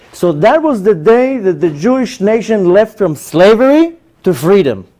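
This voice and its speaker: High-voiced Israeli man with a mellow tone. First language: Hebrew. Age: 40s. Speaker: religious lecturer, rabbi. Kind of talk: personal testimony lecture to an audience.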